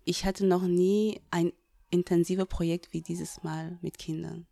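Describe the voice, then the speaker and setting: A woman's voice: fairly low pitch, level tone, a little slow, creaky, mellow, no echo. A woman from Cameroon, a diversity trainer answering interview questions earnestly, with frequent hesitations. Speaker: diversity trainer, workshop leader.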